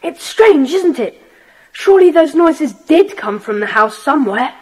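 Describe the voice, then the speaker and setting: An earnest young schoolboy from a children's audio adventure. The voice is very high and swings expressively up and down, keen and serious about club business. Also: female voice, big pitch swings, measured pace, darkish tone, low-quality recording. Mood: tense, excited.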